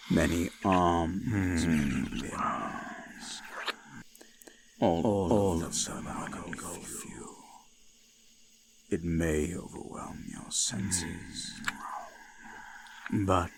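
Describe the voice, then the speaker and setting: Very slow, deep eldritch forest god. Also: male voice, full character voice. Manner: slow, ominous, formal, often whispered. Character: ancient eldritch forest god, possessive, wrathful, solemn. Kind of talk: scripted roleplay audio, intimate ASMR.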